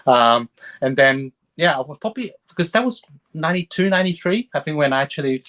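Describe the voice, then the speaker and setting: A man's voice: fairly high pitch, animated, a little fast, fairly bright tone. A man in his thirties, born in Hong Kong and raised in Sydney, chatting as a film critic being interviewed in an easy, rambling way with lots of fillers and restarts. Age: 30s. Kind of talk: casual interview chat.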